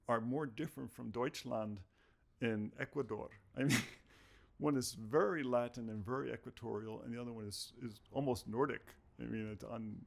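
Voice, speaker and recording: A man's voice: mid-range voice, gravelly. A middle-aged man hosting an interview show, chatty, thinking aloud and repeating words as he goes. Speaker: show host. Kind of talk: interview host.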